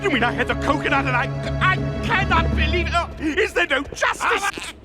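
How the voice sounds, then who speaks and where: Cartoon crocodile tyrant, very high voice, wildly swooping, pompous, grandiose, short-tempered, theatrical. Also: male voice, animated. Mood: exasperated. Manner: pompous, grandiloquent, drawn-out emphatic delivery. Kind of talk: theatrical cartoon villain acting. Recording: cartoon soundtrack with loud music behind.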